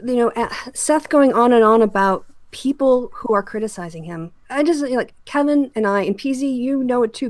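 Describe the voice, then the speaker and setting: A woman's voice: fairly high pitch, a little mellow, no echo. A woman hosting a discussion show, giving emphatic, critical commentary that sounds like an opinionated rant with direct address.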